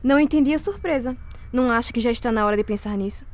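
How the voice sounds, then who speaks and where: Woman playing a high-voiced, imperious young Brazilian heiress. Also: lightly acted. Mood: cold, commanding. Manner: cold, measured, imperious. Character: rich young woman of eighteen, cold, shrewd, commanding. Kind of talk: audio drama acting of a period novel.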